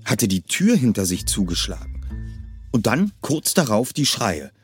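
A man's voice: on the low side, sing-song, a little slow, husky, soft background music. A man reading a novel aloud expressively, shifting his voice for the characters. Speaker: reader of a novel.

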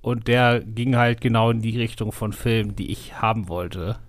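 A man's voice: on the low side, steady tone, normal speed, no echo. Male co-host, dry close studio sound, wry and teasing. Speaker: podcast co-host. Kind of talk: casual film podcast chat.

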